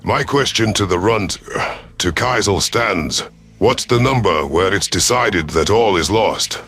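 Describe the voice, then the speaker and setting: A man with a deep voice narrates scripted science fiction in the first person. His storytelling is formal and deliberate, in the manner of an audio story narrator. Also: expressive, fairly bright tone.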